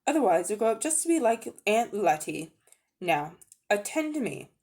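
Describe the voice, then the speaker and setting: A woman's voice: mid-range voice, sing-song, moderate pace, a little mellow, pitched above the performer's own voice, lightly acted. Woman acting older male magician, haughty, condescending, sly, coolly menacing, storybook villain. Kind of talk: dramatic audiobook reading.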